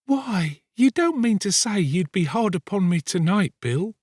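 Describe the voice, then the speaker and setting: A man's voice: high voice, sing-song, unhurried, darkish tone, dry recording, studio quality, voice pushed up, full character voice. A man voicing a weary, ailing young woman in an audiobook, tender, plaintive and coaxing. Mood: tender pleading. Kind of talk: dramatised audiobook reading of a classic novel.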